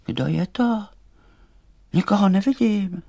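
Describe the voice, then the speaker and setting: Storybook poppy doll, male performer: little, female, kind and anxious, voice lifted high, tender delivery. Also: expressive, creaky, warm tone, dry recording, clean studio sound, exaggerated voice. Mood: curious, kind. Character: small gentle female poppy doll, worried but kind.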